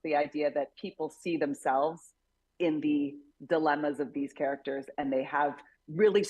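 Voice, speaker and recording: A woman's voice: deep voice, a little mellow, breathy edge, dry recording, rough recording. A woman chatting casually and enthusiastically as an interview guest actress and producer.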